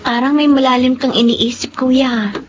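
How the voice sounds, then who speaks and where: A woman playing an inquisitive, concerned younger sister in a Filipino radio drama, expressive and questioning. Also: sits high, normal speed, dull audio, over loud music, lightly acted. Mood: curious, concerned.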